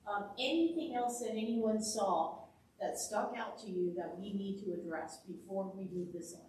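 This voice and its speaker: A woman on a township zoning commission who leads the discussion, chatty and thinking aloud with fillers, with a loud voice. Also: mid-range voice, normal speed, background noise. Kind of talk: public meeting discussion. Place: township meeting room. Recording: echoey meeting room from a distant mic.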